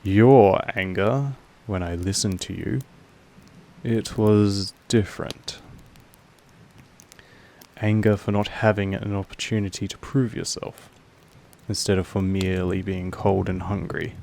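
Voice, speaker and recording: A man voicing a possessive, patient, commanding vampire master in a roleplay audio monologue, speaking in a slow, deliberate way with weighty pauses. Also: steady tone, warm tone. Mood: grave, reflective. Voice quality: low, creaky. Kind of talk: scripted roleplay audio monologue addressed to the listener.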